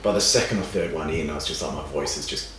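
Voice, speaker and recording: A young man with a raspy, fading voice, rambling and animated as a guest in a casual podcast chat. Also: rumbling low, quick pace. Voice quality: raspy, dry. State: losing his voice, raspy. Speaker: podcast guest, exercise scientist. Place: room with a seated podcast setup. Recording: echoey room, distant mic, faint noise.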